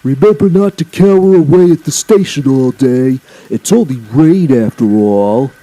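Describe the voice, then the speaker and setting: A man's voice: mid-range voice, expressive, moderate pace, mellow, voice pushed up, lightly acted, rough recording. A man voicing a huge, boastful male diesel engine in a children's story, rude, smug and sneering. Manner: pompous, sneering.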